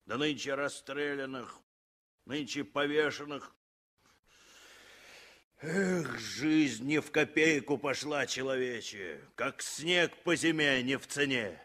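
An actor playing a gruff, hard-hearted, scornful navy boatswain in a 1960s Soviet radio drama. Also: male voice, medium pitch, slow pace, bright, forceful tone.

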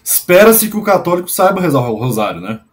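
A man's voice: sits high, sing-song, fast talker. A man hosting a video-call interview, putting in short agreeing remarks. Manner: brief backchannel remarks, agreeing. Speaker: host. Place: home room.

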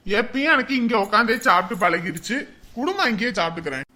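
A woman playing a calm village father who reassures his worried wife. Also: mid-range voice, fast. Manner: soothing, easygoing.

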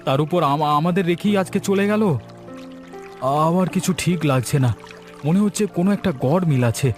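A man's voice: sits high, brisk, faint music behind, lightly acted. A man voicing a curious young village fisherman in a cartoon, who asks earnest questions. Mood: suspicious. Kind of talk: Bengali cartoon voice acting.

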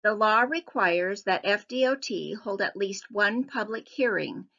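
Bright-voiced woman reading a formal script. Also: mid-range voice, muffled recording. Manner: even, measured reading. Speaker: presenter of a public hearing slideshow. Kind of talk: scripted narration.

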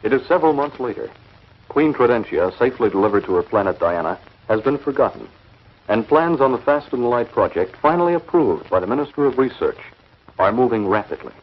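A man's voice: medium pitch, lively intonation, a little fast. Guy narrating an old tv serial, scripted, muffled vintage sound.

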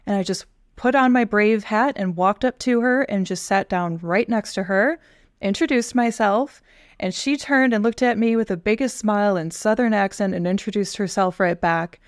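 A woman in her thirties, a guest on a video call interview, telling a story from her early career in a lively, chatty way. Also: medium pitch, no echo, clean studio sound. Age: 30s. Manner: lively storyteller, quick asides. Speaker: guest, relationship manager. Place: bedroom at home. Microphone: headphones with a desk mic on a video call.

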